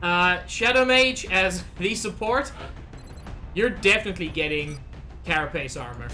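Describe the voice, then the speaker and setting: A woman's voice: lowish voice, measured pace, sharp tone. A slightly sick woman streaming a game, chatting anxiously with her viewers in casual commentary. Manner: chatty, anxious, talks to chat. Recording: faint game music behind.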